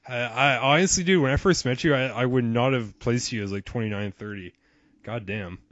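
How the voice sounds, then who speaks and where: A man's voice: mid-range voice, a little fast, a bit bright, no echo, highs cut off. A young man in his twenties hosting a casual podcast, laughing often and swearing offhand. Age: 20s. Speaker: podcast host.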